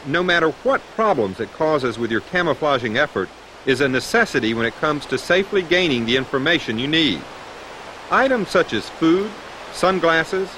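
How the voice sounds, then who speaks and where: A man with an American accent narrating a scripted training film as an instructor, methodical and clear in his delivery. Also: mid-range voice, fairly bright tone. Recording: noisy 1990s training film soundtrack.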